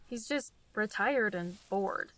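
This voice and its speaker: A woman's voice: mid-range voice, animated, slow pace, fairly bright tone, rough recording. A young woman acting in a radio drama as a practical, loyal travel companion, dry and slightly prim. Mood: dry, deadpan.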